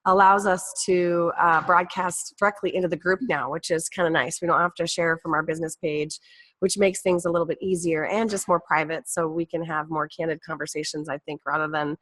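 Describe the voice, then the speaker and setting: Woman host, lowish voice, has a cold, chatty and upbeat, video-call sound. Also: steady tone, fairly quick, a bit bright, rough recording. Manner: chatty, upbeat, many fillers. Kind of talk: casual talk show chat.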